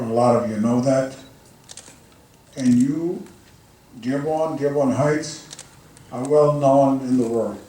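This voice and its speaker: Mellow-voiced man in an echoey hall. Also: medium pitch, distant mic, a little hiss or hum. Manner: courteous, formal. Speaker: guest speaker. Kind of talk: formal greeting speech. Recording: echoey hall, mic heard from afar.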